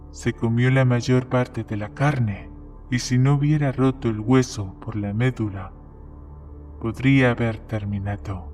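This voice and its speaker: A man's voice: mid-range voice, darkish tone, natural voice. Man acting a bartender storyteller over music. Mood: grim, suspenseful. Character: plain-spoken bartender telling a grim local horror tale. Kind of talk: dramatized short-story reading. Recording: music behind the voice.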